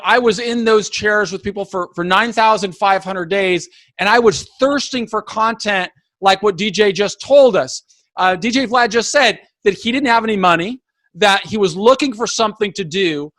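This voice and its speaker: A man's voice: high voice, a bit bright, no echo. A male host who recaps his guest's story in an emphatic, motivational way, talking straight to his audience over a video call.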